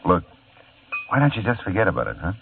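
An actor playing an easygoing, wisecracking private detective who talks in a dry, relaxed way and narrates the story. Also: male voice, deep voice, quick pace, vocal fry, a little hiss or hum, exaggerated voice. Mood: easy charm. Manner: dry, laid-back, wry. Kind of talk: 1940s radio acting. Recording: tinny old radio broadcast with loud music.

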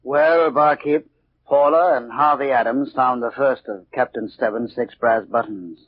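An actor playing a brooding sea captain telling a dark tale in 1940s radio drama, deliberate and ominous, on a dull old recording. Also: male voice, medium pitch, slightly rough. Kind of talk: 1940s radio acting, storytelling.

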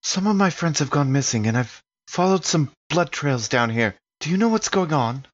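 A man's voice: fairly high pitch, lively intonation, moderate pace, highs cut off, voice pushed up. A man voicing a naive, polite young boy who asks earnest, deferential questions in a tabletop game. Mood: anxious, earnest.

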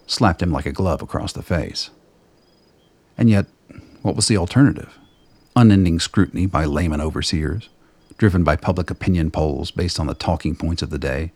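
An extremely low-voiced man narrates a radio drama. His voice is rough and husky, recorded very dry and close. He tells the story in measured, literary prose. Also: dry recording.

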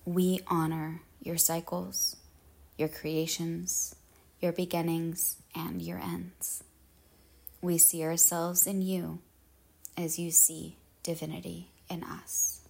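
A woman leading a guided meditation in measured, solemn phrases with pauses, her husky voice trailing into breathiness. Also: on the low side, little pitch movement, a little mellow.

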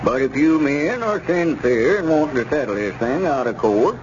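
An actor playing a scheming, self-important old squire who drawls in a 1930s radio comedy. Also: male voice, on the high side, expressive, moderate pace, close to an everyday voice. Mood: wheedling, aggrieved. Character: aggrieved, scheming old squire, pressing two friends for money. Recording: noisy, muffled 1930s radio recording.

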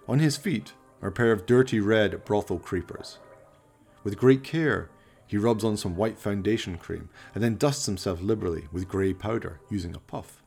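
A man's voice: on the low side, moderate pace, gravelly, darkish tone, slightly rough, faint music behind. A man narrating a scripted radio play in an arch, florid, dramatic storytelling manner.

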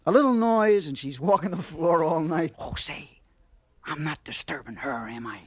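A man's voice: on the high side, lively intonation, moderate pace, breathy edge, no echo, clean studio sound. A man acting a nosy, folksy off-duty policeman in an old-time radio thriller, chatty, hesitant and plain-spoken.

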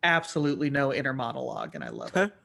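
A man's voice: mid-range voice, very animated, racing, vocal fry, a bit bright. A man chatting casually with dry, teasing humour. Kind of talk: casual chat.

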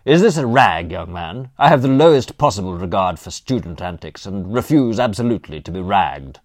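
A man's voice: deep voice, expressive, normal speed, a little mellow, no room sound, studio quality. A man voicing a fat, elderly college dean in an audiobook, pompous, demanding and irascible. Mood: hostile, indignant. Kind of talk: audiobook character dialogue.

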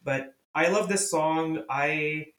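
A young man whose first language is Urdu or Hindi chats with enthusiasm, his voice sitting a little high. He hosts a podcast, and the sound carries a roomy echo. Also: slow pace. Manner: enthusiastic, lively, many fillers. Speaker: podcast host. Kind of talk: casual music discussion. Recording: echoey room.

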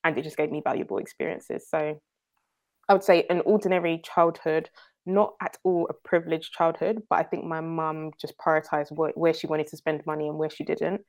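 A young London woman with a notably low voice, speaking as an interview guest. She talks in a relaxed, chatty way and laughs readily. Her speech is loose and filled with "like" and "um.". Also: fairly quick. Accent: London English. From North London, England. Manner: chatty, laughs often, leans on "like" and "um". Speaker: guest entrepreneur. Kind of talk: casual interview talk.

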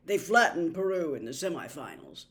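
A woman voicing a gruff young man, a blunt sports fan. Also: sits low, very animated, normal speed, a bit bright, exaggerated voice. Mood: gloomy.

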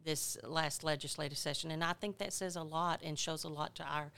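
A woman nurse advocate speaks in a podcast interview. Her voice is gravelly and bright, yet smooth. She pauses often, restarting her sentences as she works through details. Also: low voice, fairly even intonation. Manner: halting, frequent "uh" restarts while recalling details. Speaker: nurse educator and health advocate.

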